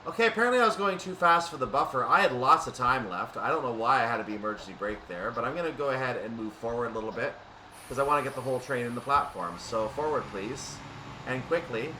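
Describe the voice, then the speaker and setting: A man with a medium-pitched voice commentates on his train simulator session, unscripted and explanatory. He reasons aloud as he goes. The sound is echoey and set back from the mic, with a steady rumble behind him. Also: fast talker, recorded from a distance. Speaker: gaming video host.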